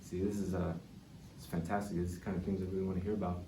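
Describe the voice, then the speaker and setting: A young adult man hosting a podcast in a casual, enthusiastic interview chat, asking questions and reacting with excitement. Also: sits low, rapid-fire, recorded from a distance. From Bay Area, California. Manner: enthusiastic, exclaiming. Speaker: podcast host. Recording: echoey room with background noise.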